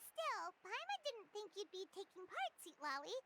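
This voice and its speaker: Tiny squeaky fairy sidekick, super high n bouncy, cheeky game character. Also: female voice, sing-song, moderate pace, heavy background noise, full character voice. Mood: eager, bubbly. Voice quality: squeaky, childlike. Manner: refers to herself in third person, exclamatory. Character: small bubbly floating fairy companion girl, cheeky. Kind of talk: video game character acting.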